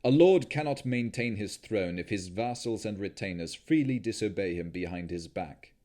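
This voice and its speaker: Guy doing a cold proud vampire lord, low voice, stern and commanding. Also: lively intonation, normal speed, mellow, lightly acted. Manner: imperious, stern.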